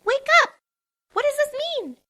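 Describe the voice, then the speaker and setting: A woman's voice: very high-pitched, animated, normal speed, sharp tone, full character voice. A woman voicing a hyperactive, bubbly female pony who squeals and bounces through her lines. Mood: excited. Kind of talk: fan dramatic reading.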